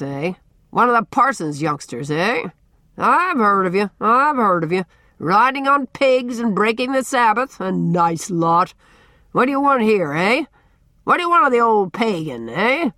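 A woman reading an audiobook and voicing a big, fierce, bullying middle-aged man who booms and barks out questions. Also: very animated, moderate pace, no echo, studio quality. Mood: scornful, gruff. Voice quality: loud, booming. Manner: blustering, demanding, barking questions. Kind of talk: audiobook character voice.

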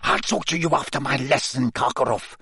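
A man voicing a menacing, vindictive male potions teacher in an audiobook, with hissing, drawn-out, cutting threats. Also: high voice, huge pitch swings, gravelly, pushed and bright, slightly rough, dry recording, voice pushed up, exaggerated voice. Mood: snapping, irritated.

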